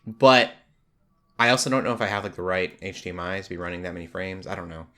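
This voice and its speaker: A man's voice: sits low, fairly even intonation, fast, pushed and bright. A man co-hosting a podcast in casual gaming chat, rambling and hesitant as he thinks aloud. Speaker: podcast co-host.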